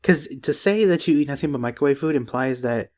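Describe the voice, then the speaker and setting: A man's voice: mid-range voice, animated, a little fast, a little mellow. A young man co-hosting a podcast, chatting in a loose, rambling way with plenty of swearing. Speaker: podcast host. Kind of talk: casual chat.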